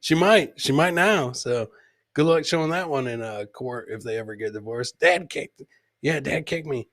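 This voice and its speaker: A man's voice: medium pitch, lively intonation, moderate pace, fairly bright tone, no room sound, studio quality. A man hosting a comedy podcast, commenting casually on funny clips and repeating his phrases for comic effect.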